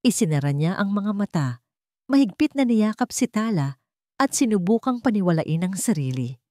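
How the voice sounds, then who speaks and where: A woman's voice: mid-range voice, sing-song, moderate pace, dark tone, no echo, clean studio sound. A woman with a Filipino accent narrating a scripted love story in a solemn, emotive, dramatic way.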